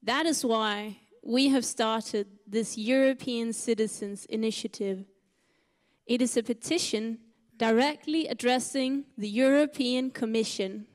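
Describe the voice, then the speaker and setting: A woman who grew up in Uganda giving a prepared press conference speech in earnest, measured short phrases. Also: fairly high pitch. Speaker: climate initiative spokeswoman. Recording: echoey hall with long reverb.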